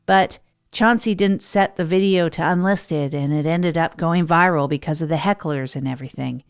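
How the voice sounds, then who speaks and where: A woman's voice: low voice, moderate pace, clean tone. A woman playing a self-deprecating failed entrepreneur hosting a comedy radio show, rambling and hesitant as they confess. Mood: rueful, reflective. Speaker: host of an advice radio show. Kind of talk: improvised comedy monologue in an audio drama.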